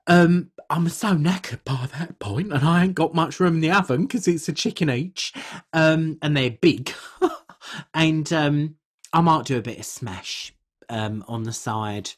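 A British woman playing an uncouth, food-obsessed podcast co-host, husky and rambling in colloquial, deadpan comic chat. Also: deep voice, measured pace. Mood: earnest, matter-of-fact. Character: uncouth, food-obsessed woman telling absurd tales earnestly.

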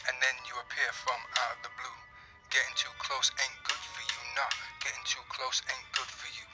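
A yearning young man acted by a male performer delivers love verse as spoken word over clear music. His intonation stays flat and even, in an audio-drama setting. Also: medium pitch, normal speed, lightly acted. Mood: yearning, measured. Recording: music under the voice.

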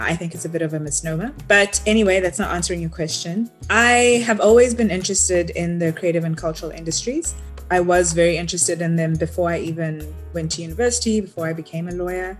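A young woman answers interview questions in a low, steady voice, articulate and sure of her views. Soft music sits faintly under her talk. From South Africa. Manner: articulate, assured, quick to explain. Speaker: podcast guest, lawyer. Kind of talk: casual interview chat.

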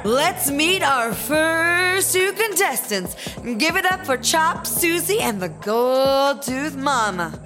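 A folksy female master of ceremonies, acted by a woman, with a high voice and slow, drawn-out delivery. The stage announcing is showy and comic, as in an audio drama, with music behind. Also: very animated, crowd noise, exaggerated voice. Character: folksy showy female rap battle emcee, Miss Mabel.

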